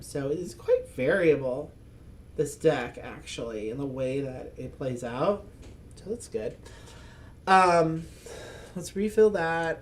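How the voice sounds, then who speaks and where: A man talking his way through a solo board game at an unhurried pace, pausing to think and laughing softly at his own jokes. His voice sits fairly light for a man. A faint hiss of noise lies under it. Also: fairly high pitch. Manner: thinks aloud, chuckling, self-mocking asides; speaks as a loud, projecting voice. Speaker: board game channel host. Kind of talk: casual solo playthrough commentary.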